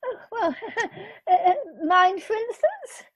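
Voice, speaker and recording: A silly, fluttery society lady, played by a woman in a radio play. The voice is high and swoops widely, on a dull video-call recording. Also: very animated, slow pace, fairly bright tone, full character voice. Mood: simpering.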